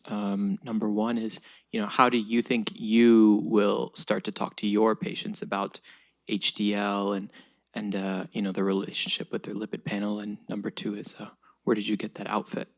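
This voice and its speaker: Man, gravelly, flat intonation, relaxed, joking. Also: lowish voice. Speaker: resident colleague in the audience.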